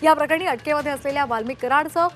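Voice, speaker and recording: A woman's voice: high-pitched, rapid-fire, soft background music. A woman reading a TV news bulletin in a formal, emphatic anchor's delivery. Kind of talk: scripted TV news bulletin narration.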